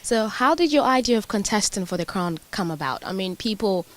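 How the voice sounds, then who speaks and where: A woman's voice: medium pitch, animated, a little fast. A woman hosting a magazine interview in a prepared, formally worded way. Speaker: interview host.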